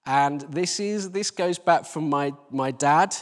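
A British man giving an informal sermon as a church leader, conversational, self-deprecating and gently humorous. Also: on the high side, slow pace. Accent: British English. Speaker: church team leader preaching. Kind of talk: informal sermon with Bible reading. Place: church hall, live service. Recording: live talk in reverberant hall.

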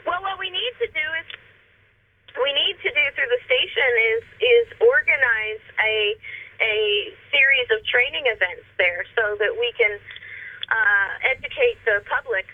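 Woman on a tinny phone line. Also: on the high side. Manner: earnest, halting with fillers. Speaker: caller, radio guest. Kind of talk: casual phone chat.

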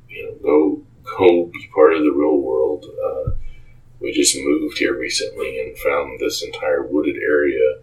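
A man answering interview questions as a game creator, speaking in a halting, measured and earnest way. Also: rumbling low, rough recording.

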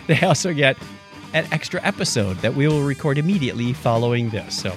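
A man's voice: medium pitch, expressive, brisk, soft background music. A man hosting a podcast in a casual, joking, conversational way. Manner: joking, playful. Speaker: podcast host. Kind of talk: casual co-hosted podcast chat.

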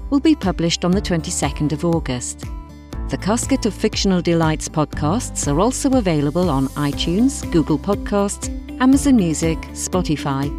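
A woman presents a podcast from a script. Her pitch is a touch low with varied, lively intonation. Music plays clearly underneath. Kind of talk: scripted announcement.

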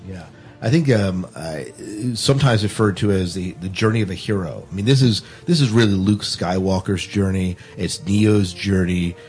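A man's voice: low-pitched, normal speed, a little mellow, faint music behind. A man hosting a podcast, talking casually about film in a conversational discussion. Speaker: podcast host.

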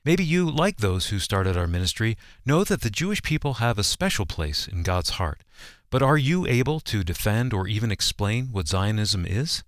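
A male radio announcer with a fairly low voice delivers a scripted promo. His intonation is animated and his words are measured and distinct, recorded dry and close. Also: dry recording.

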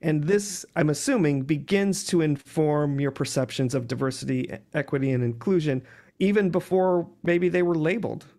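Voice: mid-range voice, normal speed, mellow. An interviewer who poses pointed follow-up questions and steers the conversation from topic to topic.

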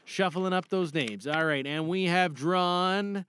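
A man's voice: high-pitched, slow pace, a bit bright, slight background noise. A man hosting a podcast in a casual, chatty, playful way, often thinking aloud. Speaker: podcast host and voice performer.

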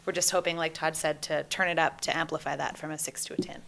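A woman's voice: low-pitched, fairly even intonation, fast, gravelly, a bit bright. A Canadian woman guest chatting casually and eagerly about a community project, laughing easily. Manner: upbeat, talkative, laughs easily. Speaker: guest, taskforce co-lead. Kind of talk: casual podcast chat.

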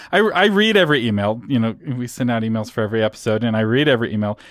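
A man hosting a podcast, asking his guest questions in a measured, casual way and letting his voice drop off at the ends of phrases. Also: medium pitch, no room sound, studio quality. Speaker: podcast host. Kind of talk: interview questions, casual chat.

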